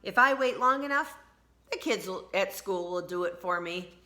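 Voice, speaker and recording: A woman's voice: medium pitch, lively intonation, normal speed, sharp tone. A woman voicing a stubborn, cheeky schoolgirl in a children's storybook, with an exclaiming, childlike delivery.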